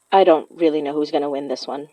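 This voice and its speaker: A woman acting a grieving, conflicted young daughter in an audio drama, speaking intimately and haltingly with a Filipino accent. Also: deep voice, no room sound. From Philippines. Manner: halting, sighing, confessional. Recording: slightly dull, lo-fi, dry recording.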